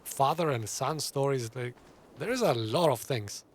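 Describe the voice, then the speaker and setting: A man's voice: medium pitch, expressive, unhurried, slight background noise. A man giving casual playthrough commentary as a gaming streamer.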